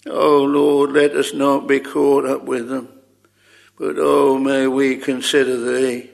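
A man's voice: mid-range voice, monotone, slow pace, gravelly. A man leading an extempore public prayer, pleading earnestly in short repeated phrases with old-fashioned thee and thou wording. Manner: short repeated phrases, trailing soft endings.

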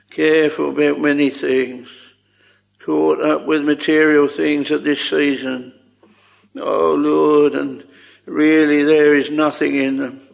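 A man's voice: fairly high pitch, vocal fry. A man leading an extempore public prayer, pleading earnestly in short repeated phrases with old-fashioned thee and thou wording. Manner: short repeated phrases, trailing soft endings.

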